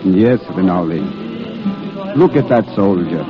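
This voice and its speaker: Fatherly wartime priest, low and solemn, dull vintage radio recording with clear music behind. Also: expressive, measured pace. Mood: gentle, solemn. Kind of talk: 1930s radio drama acting.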